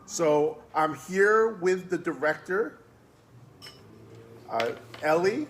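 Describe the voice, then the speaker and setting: A man's voice: sits high, lively intonation, slow pace, a bit bright, a little hiss or hum. A man hosting an online interview, speaking enthusiastically and praising the guest's work. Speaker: interviewer host.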